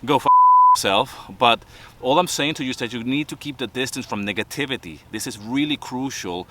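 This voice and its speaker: A man's voice: medium pitch, brisk, background noise. A man in his fifties with a Venezuelan accent, giving a motivational talk to camera in an earnest tone. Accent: Venezuelan Spanish. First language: Spanish. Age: 50s. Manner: earnest, repeats points with "okay?". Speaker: vlogger.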